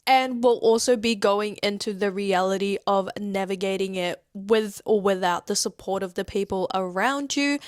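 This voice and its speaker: A woman's voice: mid-range voice, no echo, clean studio sound. A woman in her twenties with a New Zealand accent, hosting a podcast in an upbeat, chatty way. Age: 20s. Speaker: podcast host. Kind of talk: casual chat.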